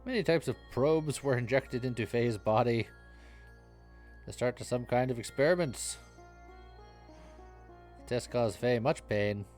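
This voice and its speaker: A man's voice: mid-range voice, measured pace, pauses often, a little mellow, soft background music. A man reading video game story text aloud on a live stream, mixing dramatic narration with joking casual chat.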